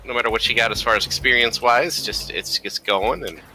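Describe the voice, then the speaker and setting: A man's voice: mid-range voice, brisk, bright, forceful tone, faint music behind, poor audio. A man who belongs to a gaming group, chatting casually with friends about games.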